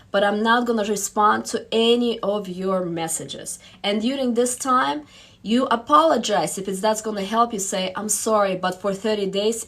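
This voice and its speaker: A woman's voice: mid-range voice, a bit bright. A woman with a Russian accent giving direct relationship advice, reading out viewer questions and laughing between points. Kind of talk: casual live-stream advice.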